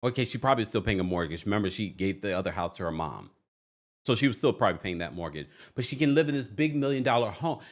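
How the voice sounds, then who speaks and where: A man's voice: lowish voice, animated, quick pace. A man hosting a reality TV recap livestream, talking casually in a playful, teasing, exclamatory way and repeating phrases for emphasis. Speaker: host of a reality TV recap show. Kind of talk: casual gossipy livestream commentary.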